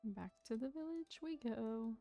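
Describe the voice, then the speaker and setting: A woman's voice: a little high, animated, measured pace, gravelly, mellow, muffled recording, faint music behind. A woman livestreamer giving casual, playful commentary over a video game. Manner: playful asides to viewers.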